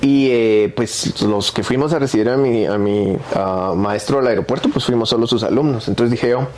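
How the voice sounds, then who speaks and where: A Guatemalan man in his forties talks casually as an interview guest. His voice is relaxed and warm, and he chats freely, often ending phrases with a tag question. Some ambient noise sits behind him. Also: medium pitch, normal speed. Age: 40s. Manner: chatty, frequent tag questions. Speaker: podcast guest, MMA social media creator.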